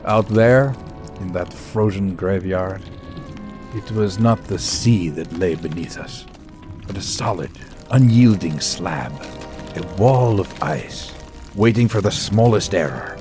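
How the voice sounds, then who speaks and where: A grave, brooding professor tells of a voyage in a low voice with wide, expressive swings. The delivery is deliberate and literary, as in an audio drama, with music playing underneath. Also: male voice. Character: grave, thoughtful professor narrating his voyage, anxious when pleading. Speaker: narrator and lead character.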